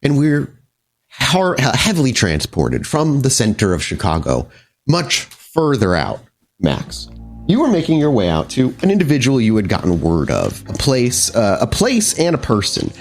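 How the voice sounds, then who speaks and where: A man's voice: mid-range voice, wildly swooping pitch, unhurried, faint music behind. A man narrating a tabletop horror game as game master, improvising wordy scene descriptions with casual asides.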